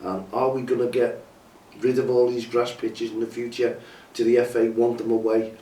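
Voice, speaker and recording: A man's voice: mid-range voice, little pitch movement, moderate pace, dark tone, distant mic, a little hiss or hum. A man hosting a campaign update, bunged up with a cold and sore throat, making an earnest, pleading appeal that often repeats itself. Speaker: campaign host. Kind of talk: impassioned appeal to camera. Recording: echoey, mic some way off, faint ambience.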